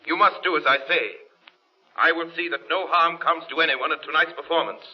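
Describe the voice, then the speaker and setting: An actor playing a mysterious crime-fighting avenger, solemn and authoritative, in 1930s radio drama style. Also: male voice, a little high, brisk, husky. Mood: urgent, insistent. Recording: dull old radio recording, music behind.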